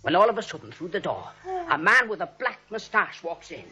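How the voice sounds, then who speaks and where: Muffled, like an old 1940s radio play, an eager, oblivious policeman. He is a chatty storyteller with a bright, forceful voice and very lively pitch. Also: brisk, heavily acted. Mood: eager storytelling. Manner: chatty, excitable, ungrammatical storytelling. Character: eager, oblivious Brooklyn policeman and would-be playwright. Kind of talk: 1940s radio comedy acting.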